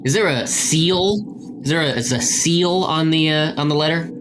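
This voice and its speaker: A man's voice: a little high, moderate pace, background noise. A man playing a tabletop roleplaying game, chatting casually and somewhat hesitantly as he thinks aloud. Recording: clear background music under the voice. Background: background music.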